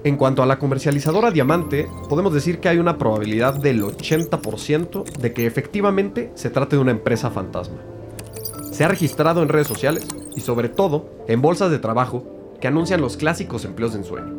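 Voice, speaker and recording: An actor playing a diligent police investigator who reports methodically in an audio drama. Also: male voice, mid-range voice, lively intonation, brisk, music in the background, close to an everyday voice. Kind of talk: scripted audio drama dialogue.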